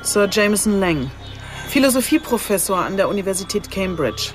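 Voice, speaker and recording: A composed female police inspector in a radio crime drama, played by an actress. Her voice sits at a medium pitch and stays polite, controlled and matter-of-fact. Music runs behind her. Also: very animated, normal speed, natural voice. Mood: firm, probing.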